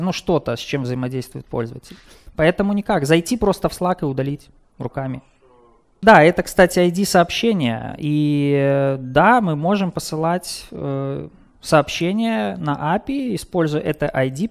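A man's voice: a little high, gravelly. Male presenter, 30s, technical talk and Q&A, hesitant with fillers, dim projector room. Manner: hesitant, many filler sounds. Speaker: presenter at a developer meetup.

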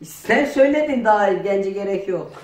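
A young man improvises in a comedy sketch. His voice sits high for a man and he tends to complain. The room around him is echoey. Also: lively intonation, normal speed, a little hiss or hum. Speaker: performer in a children's comedy sketch. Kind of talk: improvised comic banter. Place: home dining room, indoors. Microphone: camera a few metres away.

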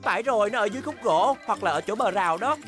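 A woman's voice: medium pitch, animated, fast, close to an everyday voice. Woman voicing a patient, helpful young cartoon polar bear. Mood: pondering. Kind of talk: children's cartoon dub. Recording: background cartoon music.

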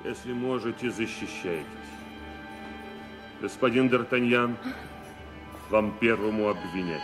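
A man's voice: fairly low pitch, a little slow, over music, close to an everyday voice. An actor playing a grave, bitter nobleman who passes judgement in a solemn, commanding manner in a radio drama. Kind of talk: 1990s Russian radio drama acting.

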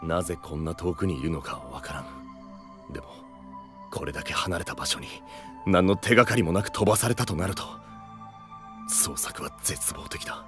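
Man voicing a deep, serious young analyst. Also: moderate pace, long pauses, music in the background, lightly acted. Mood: grave. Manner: blunt, logical. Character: cool, serious, analytical male space cadet. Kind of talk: Japanese voice drama acting.

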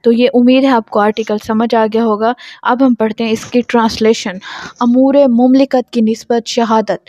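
A woman's voice: a little high, fairly even intonation, moderate pace, mellow, dry recording, dull audio. A woman with a Pakistani accent giving an explanatory law lecture, reading text aloud and explaining it in a didactic way, posing and answering her own questions.

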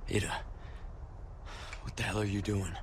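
An actor playing a terse, cool-headed action hero in a video game, laconic and understated. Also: male voice, on the low side, little pitch movement, normal speed, slight background noise, lightly acted. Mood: wary. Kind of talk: video game cutscene acting. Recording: loud music behind the voice.

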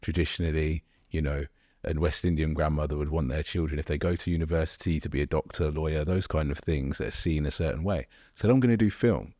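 A man in his thirties, a co-host on a podcast, sharing a personal story in a relaxed way. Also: a bass voice, fast talker, few pauses, no room sound, studio quality. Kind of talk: personal anecdote in conversation. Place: bright studio room. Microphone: boom-mounted podcast mic.

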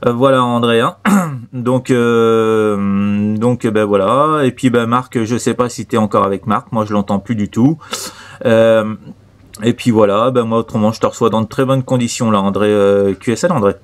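A man's voice: lowish voice, fairly even intonation, normal speed. Hesitant Frenchman chatting on radio. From Normandy, France. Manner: hesitant, repetitive, stumbling. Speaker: amateur radio operator. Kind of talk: casual radio chat.

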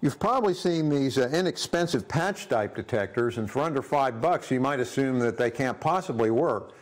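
A man in his sixties presenting a scripted product review in a workshop, brisk and plain-spoken with dry humor, on a lapel mic. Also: medium pitch, expressive. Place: indoor workshop studio.

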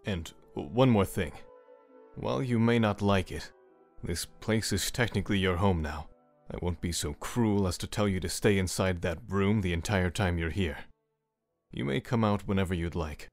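An actor playing a brooding, reserved man in an audio drama, speaking in a measured, formal, terse way. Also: low voice, faint music behind. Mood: softened, formal.

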